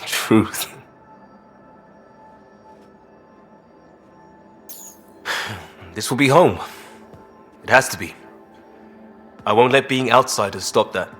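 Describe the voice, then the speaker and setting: A man playing a passionate, idealistic young crewmate in a video game, speaking earnestly and haltingly when worked up. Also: mid-range voice, fairly bright tone, soft background music. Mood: weary, resolved. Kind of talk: naturalistic video game voice acting.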